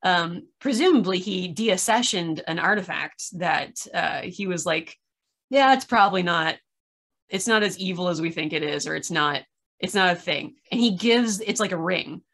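A woman's voice: lowish voice, normal speed. Woman, thirties, opinionated casual storyteller with a wry, exasperated delivery, recorded at home on a video call. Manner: animated storytelling with acted-out asides and wry exasperation. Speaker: podcast reviewer in a video chat. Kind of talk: casual chat, opinionated recounting.

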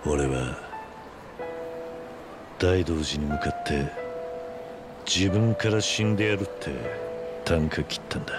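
An actor playing a stoic, gruff middle-aged yakuza legend in a video game cutscene, speaking in terse, blunt, rough phrases. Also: male voice, very deep voice, lively intonation, slow, long pauses, full character voice. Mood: somber, resolute. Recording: dramatic music under the voice.

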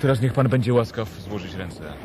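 A man playing a police lieutenant, commanding, formal, lowish, noisy old recording. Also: lively intonation, fairly quick, close to an everyday voice. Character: curt police lieutenant making an arrest.

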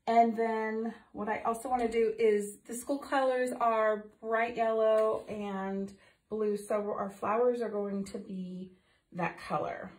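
A woman's voice: fairly high pitch, fairly even intonation, slow, a little mellow. A middle-aged woman explaining a painting project as she works, chatty and full of ums, her voice a little off from recent illness. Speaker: art teacher demonstrating painting. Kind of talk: casual painting tutorial. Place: home art studio room. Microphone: phone camera overhead, speaker off to the side. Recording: echoey room, voice off-mic.

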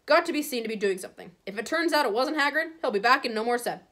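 A woman's voice: on the high side, lively intonation, quick pace, fairly bright tone, pitched above the performer's own voice. Lady reading as nervy government man, clipped short bits, flustered, storybook voice. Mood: pressured, defensive. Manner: clipped, fragmentary phrases, nervous. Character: flustered, clipped, anxious male government minister.

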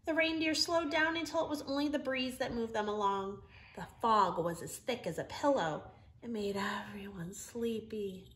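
A middle-aged woman reading a children's story aloud in an expressive, emphatic storytelling manner. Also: fairly high pitch, moderate pace. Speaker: storybook reader. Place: living room, indoors.

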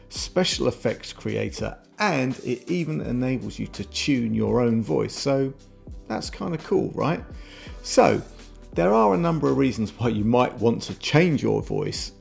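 A man's voice: medium pitch, vocal fry. A middle-aged man presenting a tech product review in a casual but scripted YouTube style, recorded indoors on a close desk mic. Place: home room with shelves, indoors. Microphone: desk microphone close in front. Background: background music.

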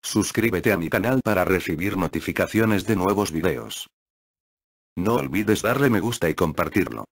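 A man calmly reading step-by-step ritual instructions as a scripted voice-over. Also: low-pitched, normal speed, breathy edge, no echo. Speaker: narrator of a spell tutorial.

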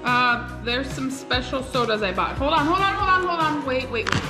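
A woman's voice: high voice, normal speed, loud music behind the voice. A woman in her thirties chatting casually with family and to a camera in a large room at home. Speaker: family vlogger, mother. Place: large open-plan living room and kitchen, indoors.

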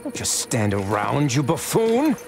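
A haughty, wealthy boss from a Western game. He speaks slowly and condescendingly at a middling pitch, with music behind him. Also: huge pitch swings, creaky, heavily acted. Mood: imperious, irritated. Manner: pompous, condescending. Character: haughty, bossy rich male Western villain. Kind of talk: video game cutscene acting.

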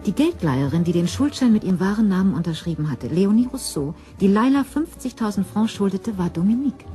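A woman's voice: medium pitch, lively intonation, a little fast, mellow, soft background music. A woman narrating a scripted crime story in a composed storytelling manner.

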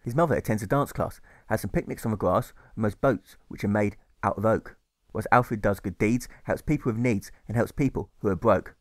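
A man's voice: fairly low pitch, brisk, no room sound, clean studio sound. A man narrating a rhyming novel as an author reading his own audiobook, with rhythmic, sing-song lists.

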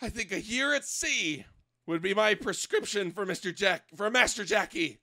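A man plays a pompous, unhinged gentleman detective in a comic storybook reading. The voice is very high and creaky, leaping about with bombastic, foul-mouthed demands and bursts of shouting. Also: lively intonation, moderate pace, no room sound, full character voice. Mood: haughty, theatrical. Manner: theatrical, bombastic, swings into shouting.

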